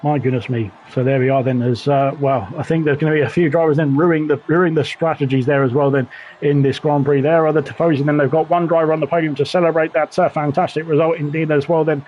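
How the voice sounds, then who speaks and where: Male sim racing commentator, slightly high, excitable, voice going hoarse, dull dry mic. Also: fast, a little hiss or hum. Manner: excitable, repeats "indeed" and "then". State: losing his voice. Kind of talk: post-race commentary.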